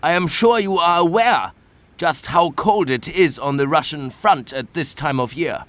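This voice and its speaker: An actor playing a menacing, self-pitying German prison camp commandant in a video game, formal and theatrical. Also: male voice, fairly high pitch, measured pace, fairly bright tone. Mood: stern, threatening. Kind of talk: video game cutscene acting. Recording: music behind the voice.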